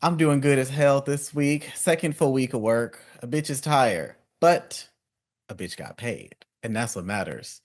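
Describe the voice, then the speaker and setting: A man's voice: medium pitch, expressive, a little slow. A young man co-hosting a podcast, casual and sassy with campy slang. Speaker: podcast co-host. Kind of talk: casual chat recapping a reality show.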